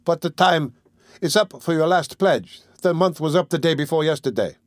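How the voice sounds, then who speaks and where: Guy doing a stingy old pawnbroker lady, curt and sharp, haggles hard, voice pushed higher, dry sound. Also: moderate pace, no room sound, lightly acted. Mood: curt, haggling.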